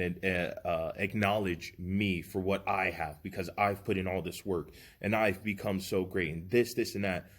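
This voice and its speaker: A man in his early thirties talking earnestly and reflectively as a podcast co-host, giving motivational advice. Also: deep voice. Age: 30s. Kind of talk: casual podcast chat.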